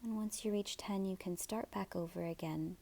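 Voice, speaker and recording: A woman teaching gentle yoga and guided meditation in a soft, soothing, breathy way. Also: mid-range voice, moderate pace.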